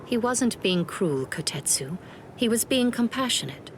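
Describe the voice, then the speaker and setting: An actress playing a composed, reflective woman officer in an anime game, speaking in a gentle, measured, formal way. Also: fairly high pitch, big pitch swings, background noise. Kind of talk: scripted anime game dialogue.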